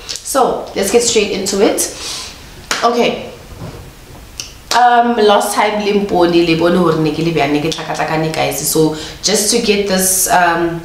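A young woman with a South African Sotho accent, chatting casually to camera in a vlog, her voice nasal from a flu. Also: fairly low pitch. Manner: chatty, mixing English with Sesotho, pet names for viewers. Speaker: vlogger. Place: small room indoors, couch, pink lighting. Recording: roomy indoor sound with faint noise.

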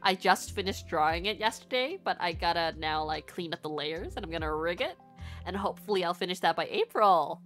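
A woman's voice: fairly low pitch, lively intonation, fairly quick, fairly bright tone. Chatty young woman streamer over faint music. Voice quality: stuffy nose at times. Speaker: VTuber streamer and artist. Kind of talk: casual livestream chat with viewers. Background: faint background music.

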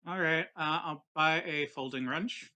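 A man's voice: on the high side, measured pace, pushed and bright, no room sound. A man chatting casually as a player in a tabletop roleplaying game. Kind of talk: casual chat.

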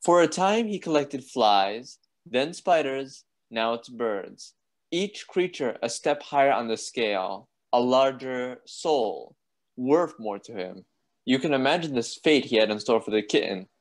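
A composed doctor of an asylum played by a man in a radio play. He has a medium-pitched voice and speaks gravely, in measured, clipped phrases. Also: expressive. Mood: grave, reflective.

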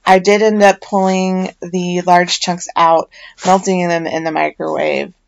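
A woman's voice: fairly low pitch, flat delivery, unhurried, creaky, poor audio. A middle-aged woman with a chatty, self-deprecating manner, giving a casual voiceover narration as a home soap maker.

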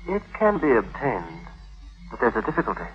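A scheming young tutor, old radio. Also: male voice, mid-range voice, huge pitch swings, normal speed, faint music behind. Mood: sly, confiding. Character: scheming, fawning young male tutor and secret lover. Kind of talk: 1950s radio acting. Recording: muffled vintage 1950s radio recording.

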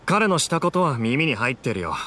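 A man's voice: mid-range voice, very animated, a little fast, bright, forceful tone, slight background noise. A man playing a mild, polite young townsman in a video game, speaking hesitantly and thoughtfully. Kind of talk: video game dialogue acting.

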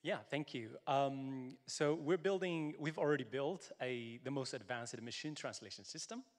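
A man's voice: medium pitch, normal speed. A man in his thirties from Ethiopia, a tech founder speaking earnestly on a conference panel into a handheld mic. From Tigray, Ethiopia. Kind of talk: panel discussion. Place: large conference hall. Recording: long hall reverb.

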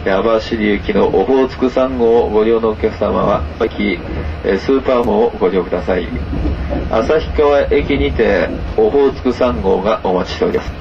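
A man making a formal train announcement with many hesitant filler pauses, heard through a loudspeaker on a moving train. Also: vocal fry. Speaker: train conductor. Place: inside a moving sleeper train. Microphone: camera recording the train's PA speaker. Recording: muffled PA speaker over rumbling train noise. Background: train running noise.

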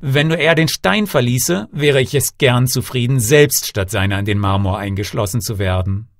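A man's voice: mid-range voice, moderate pace, no room sound, studio quality, natural voice. A man voicing a devoted, impassioned king in a storybook tale, speaking in a declamatory manner. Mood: earnest, resolute. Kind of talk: storybook character voice.

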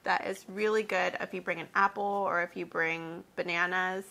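A woman's voice: fairly low pitch, steady tone, normal speed, vocal fry, pushed and bright, poor audio. A young woman guest chatting casually and recommending things in a friendly way, filmed in a living room. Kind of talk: casual chat. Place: living room with window, indoors.

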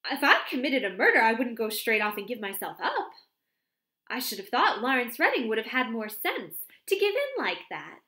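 Gossipy young secretary, high lilting voice, arch and breathless; woman reader puts her on. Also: animated, normal speed, pushed and bright. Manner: chatty, breathless, exclamatory. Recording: roomy echo.

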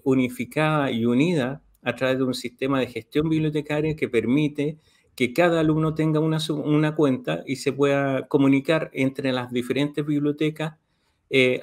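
A man's voice: medium pitch, moderate pace. A middle-aged man with a Chilean Spanish accent, explaining services step by step on a video call, with many "eh" fillers. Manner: steady, detailed, with frequent "eh" fillers. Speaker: university library official. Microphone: video call webcam.

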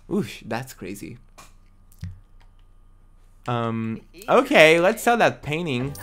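A young man with English as a second language, chatting casually to viewers while streaming a life-simulation game from a small room at home. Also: mid-range voice. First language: not English. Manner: chatty, excitable, talks to chat viewers. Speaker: livestream host. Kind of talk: casual gaming livestream chat.

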